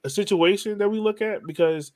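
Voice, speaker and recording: Higher-pitched man, casual podcast host. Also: fairly quick. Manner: informal, musing, builds rhetorical questions. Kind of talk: casual conversational interview.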